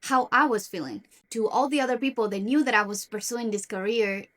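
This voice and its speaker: A woman's voice: a little high, lively intonation, fairly quick, fairly bright tone. A young woman from Argentina whose first language is Spanish, talking earnestly to camera about her own life in a self-help vlog. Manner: earnest, confiding, with a few restarts. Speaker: self-development vlogger. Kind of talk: personal talk to camera.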